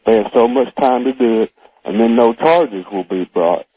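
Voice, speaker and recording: A man's voice: medium pitch, lively intonation, normal speed, husky. An American man calling a radio show with a casual comment, his voice muffled and hard to make out. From Oklahoma, United States. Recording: muffled, narrow phone line.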